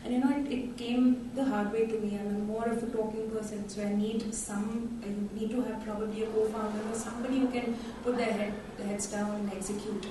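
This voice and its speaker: A woman's voice: fairly high pitch, flat delivery, brisk, hardly any pauses. Young woman, blunt emphatic delivery, level tone, distant echoing hall with noise. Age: young adult. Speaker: panel speaker. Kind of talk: conference talk. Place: large conference hall. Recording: echoey hall from far off, noisy.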